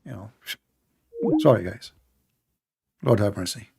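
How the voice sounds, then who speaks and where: A man's voice: on the low side, a little slow, gravelly, noisy background. A man whose first language is Arabic, hosting a live online debate and pressing his point insistently, often repeating himself. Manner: insistent, repetitive, talks over the caller. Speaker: debate host.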